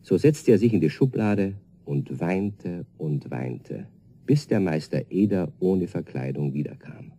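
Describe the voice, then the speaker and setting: A man narrating a children's radio play as a calm storyteller, reading in a scripted storybook style. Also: low voice, a little slow, full character voice. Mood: measured.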